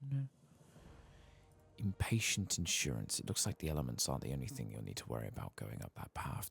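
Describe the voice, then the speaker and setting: Very deep, gravelly soft-spoken man. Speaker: gaming ASMR streamer. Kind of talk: soft-spoken ASMR game commentary, partly whispered. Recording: faint keyboard and clicking noise.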